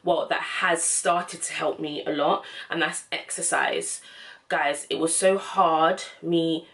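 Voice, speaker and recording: A woman's voice: sits low, fairly even intonation, slow, a bit bright. A young woman gives casual, encouraging advice in a slangy, informal vlog style. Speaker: self-help vlogger.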